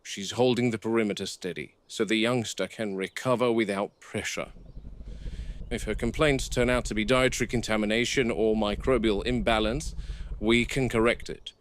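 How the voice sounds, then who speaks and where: A seasoned game warden acted by a man logs what he observes in a low, measured voice. He is watchful and analytical, speaking in clipped field notes, like found-footage horror on a noisy old VHS tape. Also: steady tone, lightly acted. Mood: clinical, calm.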